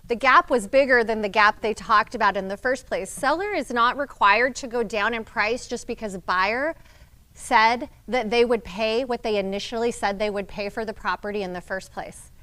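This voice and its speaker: A woman's voice: a little high, bright, forceful tone. A woman leading a real estate team meeting, explaining deals to agents in a direct, teaching manner. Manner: direct, explanatory, addresses the group. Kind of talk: training talk to agents.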